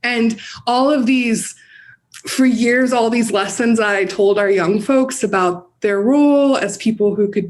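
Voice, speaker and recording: A woman with an American accent giving earnest, reflective answers as an interview guest. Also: a little high. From United States. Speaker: guest, campaign director and community organizer.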